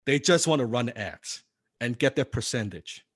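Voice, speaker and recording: A man's voice: mid-range voice, lively intonation, moderate pace, no room sound, studio quality. A man teaching copywriting in a live seminar, non-native in English, firing rhetorical questions at the audience. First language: not English.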